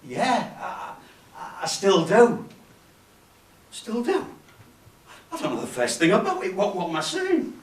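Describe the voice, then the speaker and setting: A man acting a nervous, halting bloke, echoey. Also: high voice, huge pitch swings, full character voice. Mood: flustered, panicky. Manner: stammering, halting, self-deprecating. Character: nervous, lonely, unassuming man babbling before performing. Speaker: stage actor. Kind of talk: theatrical comic monologue. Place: cafe bar performance space. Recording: echoey room, distant camera microphone.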